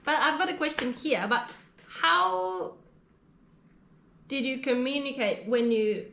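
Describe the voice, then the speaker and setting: Woman interviewer, Japanese native, higher voice, chatty and rambling, echoey room with faint hum. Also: takes it slowly, creaky, a bit bright. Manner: chatty, rambling follow-up questions, many "like" fillers. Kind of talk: casual interview questions. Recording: roomy echo, faint background ambience.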